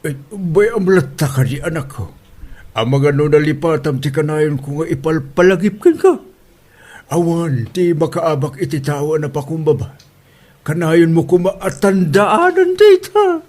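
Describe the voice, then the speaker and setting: Guy playing easygoing filipino village dad, comedy drama, kinda high husky voice, exclaims a lot. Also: lively intonation, a little slow, darkish tone. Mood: consoling. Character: good-natured humble village father, Manong Berto. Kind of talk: Ilocano comedy radio drama acting.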